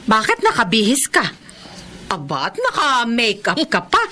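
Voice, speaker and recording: Woman acting a bright, animated caring Filipino mother. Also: a little high, unhurried, slight background noise, exaggerated voice. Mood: warm, teasing. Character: caring, pious older mother, gently teasing. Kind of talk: Filipino radio drama acting.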